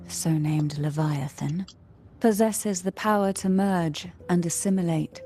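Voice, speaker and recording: Courteous, commanding noblewoman for a video game: dark mellow tone, unhurried, measured delivery, music underneath. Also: on the low side. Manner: slow, measured, formal and poised. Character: composed, courteous noblewoman, cryptic and quietly commanding.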